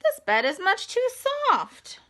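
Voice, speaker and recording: A woman voicing a curious, picky young girl in a storybook, with drawn-out, emphatic exclamations. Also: very high-pitched, huge pitch swings, pushed and bright, pitched above the performer's own voice, full character voice. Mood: dissatisfied. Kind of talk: storybook character voice.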